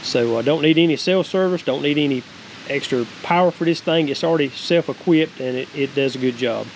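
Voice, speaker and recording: Folksy Southern US man, higher-pitched voice. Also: fairly quick, poor audio. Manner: folksy, rambling. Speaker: homestead vlogger reviewing a product. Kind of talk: casual unscripted product review. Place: outdoors, wooded creek, then cabin deck. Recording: clear steady background hiss outdoors.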